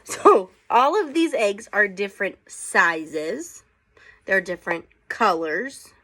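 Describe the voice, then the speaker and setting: A woman's voice: medium pitch, lively intonation, bright, forceful tone. A woman teacher reading a picture book aloud to children, casually prompting them with questions as she goes. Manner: unhurried, inquisitive, prompting listeners with questions.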